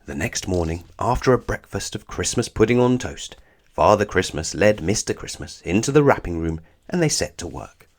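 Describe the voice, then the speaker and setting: Low-voiced, animated male storyteller. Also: normal speed. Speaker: children's book narrator. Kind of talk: read-aloud storytelling, scripted narration.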